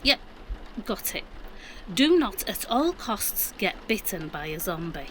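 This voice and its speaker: A woman's voice: medium pitch, big pitch swings, slow, slight background noise. A middle-aged woman playing a defensive, practical mum in an audio drama, with a Yorkshire accent and matter-of-fact delivery. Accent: Yorkshire English. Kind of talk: naturalistic audio drama acting.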